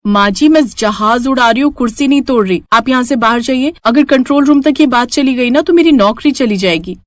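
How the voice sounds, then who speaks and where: A young woman pilot in a cartoon story, acted by a female narrator, pleading politely but firmly. Her voice is high and urgent, recorded dry and close. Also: a little fast, no room sound, natural voice. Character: harried young female airline pilot daughter-in-law.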